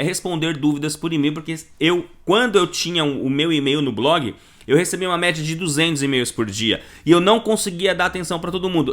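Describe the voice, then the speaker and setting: A man with a Brazilian Portuguese accent gives a live online lesson, talking with animated emphasis and putting rhetorical questions to the viewer. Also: high voice. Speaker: online Italian course teacher.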